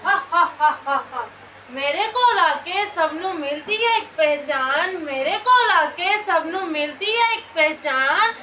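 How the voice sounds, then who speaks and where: A very high, bright, grandiose villain spirit acted by a young female performer on stage. The boasts are chanted and repeated, picked up by a distant mic with faint noise. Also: expressive, measured pace, exaggerated voice. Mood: gloating. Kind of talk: school stage play declamation.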